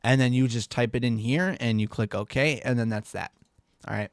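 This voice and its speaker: A man's voice: mid-range voice, normal speed, dry recording, clean studio sound. A young man with a stuffy, congested voice, giving a rambling, laid-back computer tutorial and laughing off his own slips. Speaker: tech tutorial creator. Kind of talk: casual screen-recorded tutorial.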